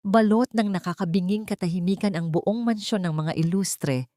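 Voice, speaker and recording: Woman, lower-pitched, dry close sound, scripted dramatic storytelling. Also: darkish tone, no room sound. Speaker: story narrator. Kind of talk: scripted dramatic narration of a Tagalog love story.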